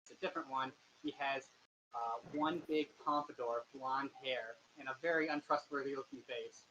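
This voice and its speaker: A man's voice: medium pitch, steady tone, a bit bright, highs cut off. A man runs a tabletop game over voice chat, tossing off casual descriptions of the people the party meets. A faint hiss sits under his voice. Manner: casual, describes characters in quick asides. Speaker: game master.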